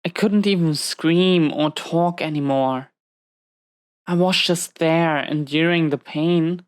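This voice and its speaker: A woman playing a battle-scarred prison inmate villain in an intimate audio roleplay, confiding in a halting, reflective, weary way. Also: deep voice. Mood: pained recollection. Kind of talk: slow intimate audio roleplay monologue to a listener.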